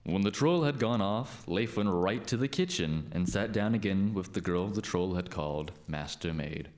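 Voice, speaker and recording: A man's voice: low-pitched, animated, normal speed, darkish tone. A man playing a storyteller, narrating a scripted folktale. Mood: storytelling.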